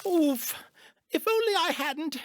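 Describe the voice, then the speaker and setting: A woman voicing a naive, honey-loving toy bear in a children's audio drama, musing in a slow, childlike way. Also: very high-pitched, lively intonation, no room sound, clean studio sound, heavily acted. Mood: flustered.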